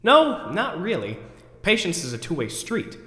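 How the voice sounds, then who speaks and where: An actor performs an overwrought man thinking out loud in a theatrical monologue. His voice is bright and fairly high, with big swings in pitch as he frets and reasons with himself. It rings through an echoey hall. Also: wildly swooping pitch, unhurried, heavily acted. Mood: reflective. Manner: ranting, then talking himself down. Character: overwrought, neurotic man agonizing over forgotten groceries, self-questioning. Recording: echoey hall with long reverb.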